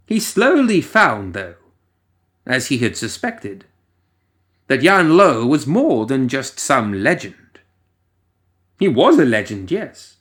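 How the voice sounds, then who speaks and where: Slow, theatrical male storyteller, swooping pitch. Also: fairly bright tone. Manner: theatrical, ominous, lingering pauses. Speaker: story narrator, reader. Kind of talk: scripted dramatic storytelling narration.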